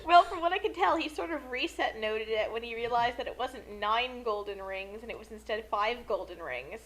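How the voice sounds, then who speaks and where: High, bright, excitable woman. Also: normal speed. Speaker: co-host giving commentary. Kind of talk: casual comic commentary.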